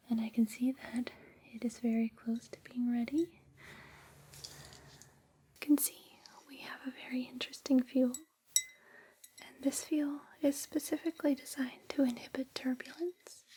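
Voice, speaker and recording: A woman whispering softly and breathily in a soothing, reassuring ASMR personal-attention roleplay, close to a binaural microphone. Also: fairly high pitch, breathy edge. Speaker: ASMR performer playing a space flight attendant guiding a sleep journey. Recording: close binaural with background hiss and clicks.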